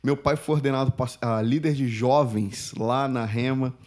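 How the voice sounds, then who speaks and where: A man in his thirties, a native Spanish speaker, telling his story as a podcast guest in a casual, animated way. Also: medium pitch, normal speed. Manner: chatty, animated storyteller, laughs while telling.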